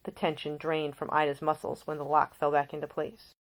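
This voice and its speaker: Lowish-voiced woman narrating a novel. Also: completely flat delivery, a little fast. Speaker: author reading their own novel. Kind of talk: scripted audiobook narration.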